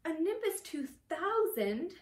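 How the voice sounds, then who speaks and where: A woman voicing a cheeky, excitable schoolboy wizard in a storybook reading, in an echoey room. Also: on the high side, a little slow. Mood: envious awe. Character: envious, cheeky schoolboy wizard, sarcastic best friend. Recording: roomy echo, distant mic, faint noise.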